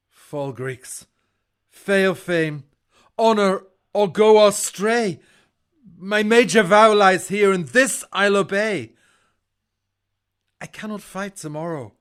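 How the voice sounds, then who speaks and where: A proud greek warrior in shakespeare, high voice, takes his time, very grand. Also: fairly bright tone. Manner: grand, declamatory. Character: proud, theatrical Greek warrior, boastful and lovesick. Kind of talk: theatrical Shakespearean audio drama acting.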